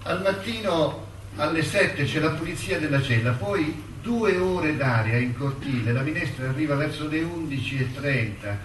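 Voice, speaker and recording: An actor playing a jailed intellectual in a radio drama, dignified and wry, speaking in measured, deliberate phrases with weighty pauses. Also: male voice, on the high side, animated, close to an everyday voice. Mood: sorrowful, resigned. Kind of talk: stage-style radio drama, letter and courtroom dialogue. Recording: roomy, distant, noisy theatre-like recording.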